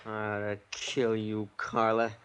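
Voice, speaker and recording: An American actor playing a hot-headed young hoodlum, snarling orders and threats in a 1950s radio crime drama. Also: male voice, on the low side, monotone, measured pace, fairly bright tone. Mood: threatening. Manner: snarling, defensive, commanding. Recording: noisy 1950s radio recording.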